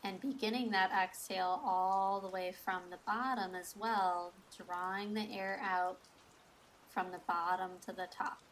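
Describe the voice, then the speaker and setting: Female meditation guide, unhurried and soothing, mid-range pitch, measured instructional delivery, light ambient noise behind her. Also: fairly even intonation, a bit bright, low-quality recording.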